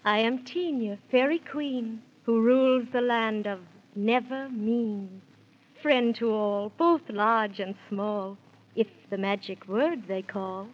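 Slow, stately fairy queen. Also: a little high, steady tone. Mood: majestic, benevolent. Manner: stately, incantatory rhymed delivery. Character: regal, benevolent fairy queen speaking in rhyme. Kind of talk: 1930s children's radio drama acting. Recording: dull 1930s radio recording.

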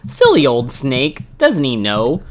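A man's voice: medium pitch, wildly swooping pitch, takes it slowly. A father reading aloud to his toddler, voicing a small, clever, brave mouse in a cheeky sing-song tone.

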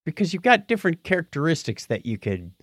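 A man's voice: fairly high pitch, huge pitch swings, quick pace, a bit bright, dry recording, clean studio sound. A man co-hosting a podcast, talking casually and playfully as he tells stories and reads out listener comments. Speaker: podcast co-host.

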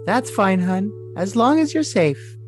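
A man's voice: high-pitched, big pitch swings, measured pace. A man voicing a lovesick, scheming young woman in a cutesy, coy, overly dramatic comic reading of messages. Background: music.

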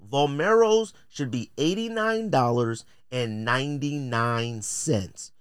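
A man's voice: medium pitch, slow pace, smooth, no echo, studio quality. An American man hosting a podcast with casual, opinionated commentary, talking in slang with a blunt, joking, theatrical delivery. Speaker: podcast host.

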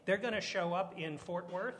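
Guy on a panel with a high voice, pushy and emphatic, asks questions then answers them himself. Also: normal speed, fairly bright tone, a little hiss or hum. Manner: emphatic, argumentative, rhetorical questions. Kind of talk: panel discussion.

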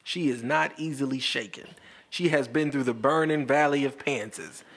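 A man in his twenties co-hosting a podcast, playful and theatrical with drawn-out emphasis. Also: medium pitch, fairly bright tone, slightly rough. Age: 20s. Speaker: podcast co-host. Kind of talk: casual chat.

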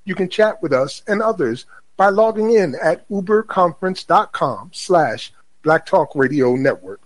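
High-pitched man announcing on radio. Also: animated, measured pace, no room sound. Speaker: radio host. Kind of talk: scripted radio show introduction.

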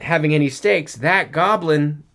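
A man's voice: on the high side, measured pace, a bit bright. A man co-hosting a podcast, chatting and bantering casually about a film. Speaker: podcast co-host.

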